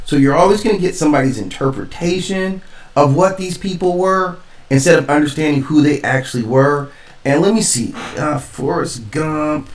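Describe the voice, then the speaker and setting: A man giving casual spoken commentary as a stream host, rambling and emphatic as he thinks aloud. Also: a little high. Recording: roomy echo, distant from mic.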